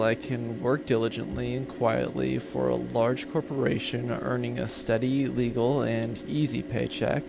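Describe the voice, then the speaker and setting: Audio drama pirate bookkeeper played by a man: sardonic, wordy, worn down by pain, low and deadpan, noisy recording. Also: gravelly. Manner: dry, wordy, deadpan sarcasm. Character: sardonic, weary male pirate accountant held captive. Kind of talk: comedic audio drama acting.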